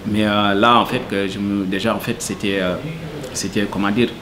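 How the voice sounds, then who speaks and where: A man's voice: on the low side, flat delivery, moderate pace, a crowd in the background. A man from Senegal, answering interview questions earnestly and hesitantly, full of fillers. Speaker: cook, interviewee.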